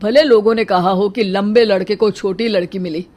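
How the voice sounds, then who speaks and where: Woman acting a calm, reassuring husband. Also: medium pitch, normal speed, close to an everyday voice. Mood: earnest, loving. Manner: reassuring, reasoning. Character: calm, supportive middle-aged husband and father.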